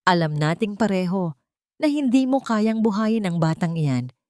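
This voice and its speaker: A woman's voice: mid-range voice, expressive, moderate pace, a little mellow, no room sound, studio quality, close to an everyday voice. A woman voicing a callous, impatient rich husband in an audio story, blunt and condescending. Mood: contemptuous, impatient.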